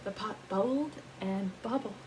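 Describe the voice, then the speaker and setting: A woman reading a picture book aloud in an animated, teacherly way, pausing to put questions to young listeners. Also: medium pitch, slight background noise, rough recording.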